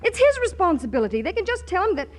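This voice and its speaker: Indignant young working gal, 1940s radio comedy: high pitch, very animated, stammering protests. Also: female voice, fast. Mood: exasperated, determined. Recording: noisy 1940s radio broadcast recording.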